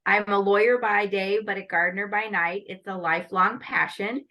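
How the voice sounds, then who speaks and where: A woman's voice: mid-range voice, steady tone, normal speed, lo-fi sound. A middle-aged woman from the American Midwest being interviewed, answering in a poised, articulate way and listing her work with enthusiasm. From Northwest Iowa, USA. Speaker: guest, lawyer and pageant titleholder.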